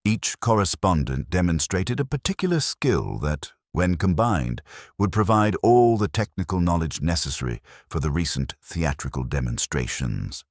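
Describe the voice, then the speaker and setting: A man reading a detective mystery as scripted narration, in a measured, formal storytelling manner. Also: low voice, animated, no room sound, studio quality.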